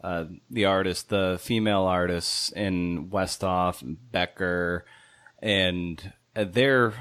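A man's voice: sits low, steady tone, slow pace, fairly bright tone, dry recording. A man hosting a podcast interview in a conversational style, with meandering, hesitant phrasing.

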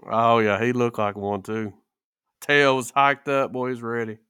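A man's voice: mid-range voice, a little slow, sharp tone, smooth, dry recording, studio quality. A man in a casual podcast chat, telling stories with folksy, rural phrasing. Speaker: podcast co-host.

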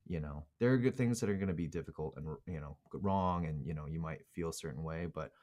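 A man's voice: rumbling low, quick pace, a little mellow. A man born in Korea, a podcast co-host, chatting casually and rambling with lots of fillers and restarts. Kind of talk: casual chat.

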